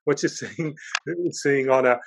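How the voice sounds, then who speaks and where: A man's voice: mid-range voice, fairly even intonation, a little slow, a little hiss or hum. A man hosting a podcast interview, talking in a rambling, hesitant way with many restarts. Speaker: podcast host. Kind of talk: casual interview.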